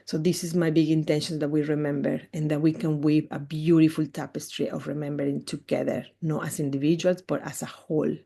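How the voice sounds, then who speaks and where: Woman from Mexico hosting a spiritual group call; low, gravelly, mellow voice, earnest and unhurried. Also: flat delivery. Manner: slow, earnest, spiritual phrasing. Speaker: expedition guide.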